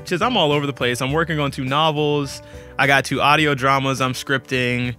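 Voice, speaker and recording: A man's voice: mid-range voice, fairly even intonation, brisk, sharp tone, soft background music. A man speaking as a guest storyteller in a casual interview, candid and informal, with a self-deprecating way of talking.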